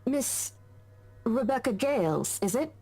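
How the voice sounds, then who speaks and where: A woman's voice: on the high side, expressive, slow, mellow, slightly rough, heavily acted. An actress playing a courteous, professional realty woman in a visual novel game. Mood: courteous, businesslike. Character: courteous, professional woman running an open house. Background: music.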